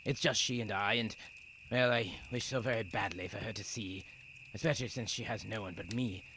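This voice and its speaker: A man's voice: lowish voice, brisk, background noise, close to an everyday voice. An actor playing a blunt, earnest Roman prison guard and worried father in an audio drama. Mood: worried, tender.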